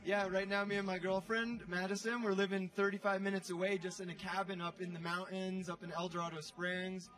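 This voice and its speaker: High-voiced, laid-back young man. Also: flat delivery, fairly quick, few pauses, fairly bright tone. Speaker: guest, snowboarder. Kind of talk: casual chat. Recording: slightly distant from mic.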